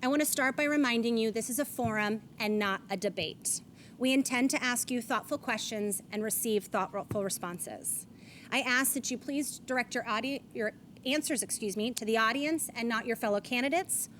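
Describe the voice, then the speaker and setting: A woman's voice: a little high, moderate pace, fairly bright tone, a little hiss or hum. Woman moderating a forum. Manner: scripted, reads statements and rules aloud. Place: event stage.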